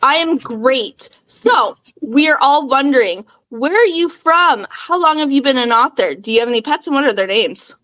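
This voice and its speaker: A woman's voice: high voice, expressive, normal speed, few pauses, fairly bright tone, dull audio. A woman co-hosting a podcast, asking friendly questions. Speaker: podcast co-host. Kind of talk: casual interview questions.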